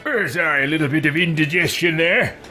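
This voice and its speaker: Guy doing a brash impatient inquisitor, bright high voice, game roleplay over loud music. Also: a little fast. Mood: flippant.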